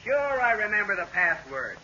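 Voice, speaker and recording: An actor playing an excitable, easily exasperated husband with an emphatic, blustering manner in a 1940s radio sitcom. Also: male voice, high voice, moderate pace, exaggerated voice. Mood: hearty, jovial. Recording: scratchy muffled old-time radio recording.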